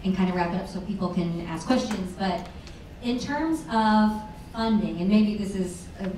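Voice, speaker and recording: Woman moderator, relaxed questions; far off in a very reverberant room. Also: medium pitch, moderate pace, slight background noise. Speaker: panel moderator. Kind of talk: moderating a panel. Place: large event room with audience. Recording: echoey room recorded from far off.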